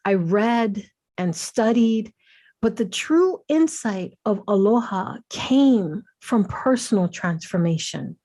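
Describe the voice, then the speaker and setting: A woman's voice: medium pitch, lively intonation, takes it slowly, dark tone. A middle-aged woman from Hawaii presenting her doctoral research, telling it as a reflective story and lingering reverently on key words.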